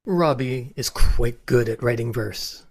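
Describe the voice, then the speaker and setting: An actor in a radio play voicing a proud, blustering Regency gentleman who acts as an amateur, hearty and emphatic. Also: medium pitch, takes it slowly, husky. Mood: confident, tactful.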